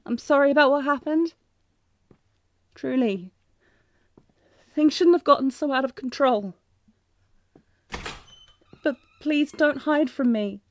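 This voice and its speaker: A woman's voice: high-pitched, slow, long pauses. A woman playing a tender, anxious young princess in a romantic audio drama, speaking formally and hesitantly with sighs. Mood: anxious, pleading. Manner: formal archaic diction, hesitant, breathy sighs. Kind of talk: scripted romantic fantasy audio roleplay, intimate.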